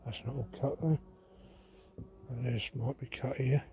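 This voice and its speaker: A man giving halting, off-the-cuff commentary as he walks around outdoors with a handheld camera. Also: medium pitch, fairly even intonation. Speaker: urban exploration vlogger. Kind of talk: casual walking vlog commentary. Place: outdoors on an overgrown derelict site. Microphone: handheld camera's built-in microphone. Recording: muffled, noisy handheld outdoor recording.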